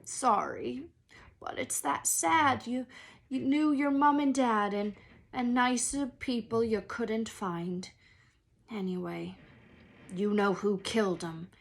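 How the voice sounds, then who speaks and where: A woman voicing a huge, gruff but good-hearted wizard man in a storybook, emotional and anxious, with folksy, halting speech and dropped word endings. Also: on the high side. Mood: sorrowful.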